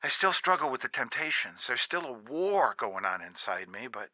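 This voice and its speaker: A man's voice: mid-range voice, sing-song, sharp tone, no echo. A man playing an earnest young man on a dull phone line. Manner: halting, stammering.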